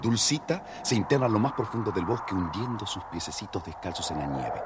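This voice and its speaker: Animated, lowish-voiced male storyteller. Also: brisk, very noisy. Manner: theatrical, expressive storyteller. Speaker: narrator. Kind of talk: scripted children's storybook narration.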